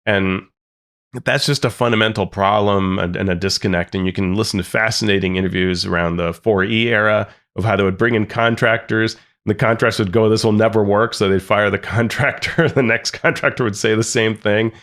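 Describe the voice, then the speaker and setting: A man's voice: lowish voice, fairly even intonation, a little fast, fairly bright tone. A man co-hosting a podcast, talking earnestly and critically with frequent restarts. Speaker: podcast co-host. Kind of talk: casual discussion.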